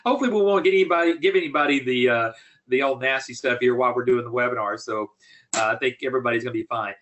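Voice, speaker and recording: A man's voice: medium pitch, racing, a bit bright, muffled recording. A man hosting a business webinar in an informal, folksy way, often rephrasing himself as he presents. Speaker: webinar host, business coach.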